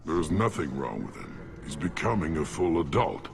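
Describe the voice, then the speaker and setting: An actor playing a gruff, contemptuous alien clan leader in a video game, with a growling voice and a blunt, imperious manner. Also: male voice, extremely low pitch, big pitch swings, moderate pace, heavily acted. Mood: dismissive. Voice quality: gravelly, growling. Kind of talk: video game cutscene acting.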